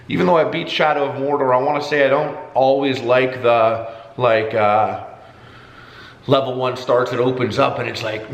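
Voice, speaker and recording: A man records a casual vlog in a room with a clear echo. His pitch sits in the middle for a man, and he talks in a jokey, slangy way, swearing freely as he addresses his viewers. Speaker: vlogger.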